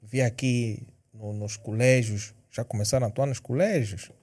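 A man's voice: mid-range voice, steady tone, normal speed, a little mellow. A man hosting a casual interview, teasing his guests and pushing with personal questions. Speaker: host.

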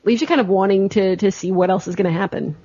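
A woman's voice: mid-range voice, quick pace, warm tone, slightly rough, no echo, muffled recording. A woman in a casual podcast discussion, speaking informally and bluntly. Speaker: podcast panelist.

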